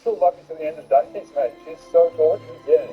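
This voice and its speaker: A man's voice: a little high, a little fast, highs cut off, faint music behind. A man talking informally and earnestly, as in a frank relationship discussion.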